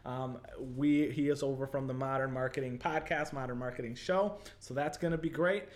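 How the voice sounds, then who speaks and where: A man's voice: medium pitch, fairly even intonation, a little fast. A man with a Chicago accent hosting a live online interview show, chatting casually and upbeat, full of fillers like "like" and "totally". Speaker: livestream show host.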